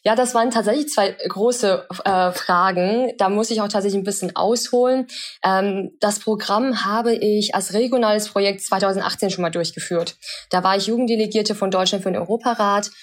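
A woman's voice: medium pitch, fairly even intonation, fairly quick. A young woman from China, a podcast guest, explaining her work thoughtfully with many filler sounds. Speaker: podcast guest, social entrepreneur. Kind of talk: casual interview talk.

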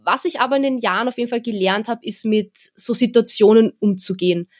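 Chatty young woman, relaxed and easygoing. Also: on the high side, fairly quick. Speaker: guest, event presenter. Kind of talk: casual interview talk.

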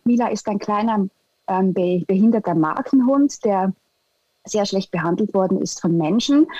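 A woman's voice: mid-range voice, warm tone, slightly rough, dry recording, highs cut off. A young woman from Switzerland with a Swiss German accent, giving thoughtful, hesitant interview answers with many fillers. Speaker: interview guest, integration specialist.

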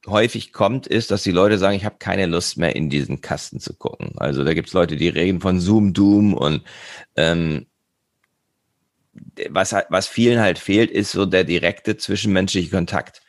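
Male host with a very low voice, thoughtful and affirming, dry close recording. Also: no echo. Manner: attentive, frequent affirming murmurs, thoughtful rambling questions. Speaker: podcast host, interviewer. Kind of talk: casual conversational interview.

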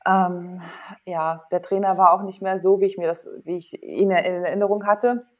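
A woman in her thirties with a slightly low voice speaks as a guest in a casual interview. She is candid and informal, thinking aloud and voicing her own past thoughts as she tells personal stories. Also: fairly even intonation, moderate pace, muffled recording. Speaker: interview guest, former athlete and sports psychologist.

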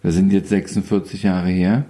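Low mellow voice, guy in his 50s, photographer rambling on with dry little jokes and lots of ähms. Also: moderate pace. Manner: relaxed, rambling storyteller with wry humor and frequent hesitations. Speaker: photographer guest. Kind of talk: casual interview.

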